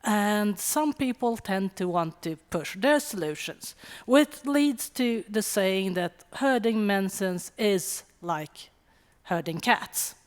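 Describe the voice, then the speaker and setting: A woman's voice: mid-range voice, lively intonation. Swedish woman speaking in a reverberant hall. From Sweden. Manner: wry, conversational, with quick asides. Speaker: conference speaker. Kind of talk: conference talk. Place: conference hall.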